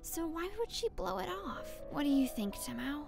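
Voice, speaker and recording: A woman plays an eager, impulsive teenage schoolgirl in an anime fan dub. Her voice sits high, and she speaks earnestly, rising quickly to determination. Faint music plays behind her. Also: expressive, gravelly, exaggerated voice.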